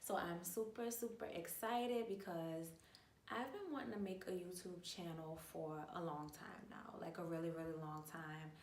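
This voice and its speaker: A woman's voice: on the low side, moderate pace, a little hiss or hum. A woman in her twenties from New Orleans with a New Orleans accent, chatting casually to camera, full of slang and fillers. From New Orleans, USA. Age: 20s. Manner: chatty, animated, uses lots of slang and filler. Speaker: vlogger. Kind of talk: casual storytime chat. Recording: echoey room, mic at a distance.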